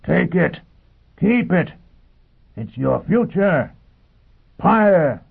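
A fanatical fugitive from a science fiction story , acted out by a male reader, savage and defiant. He exhorts in short bellowed bursts with a gravelly rasp. The recording is thin and phone-like, with a narrow band. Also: fairly low pitch, moderate pace. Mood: roaring, urgent.

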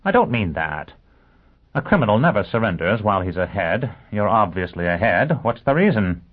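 A man voicing a brisk, skeptical, sharp-tongued lawyer in an audiobook, firing off clipped, incredulous questions. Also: fairly low pitch, big pitch swings, breathy edge, voice pushed up. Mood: keen. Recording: narrow phone-like sound.

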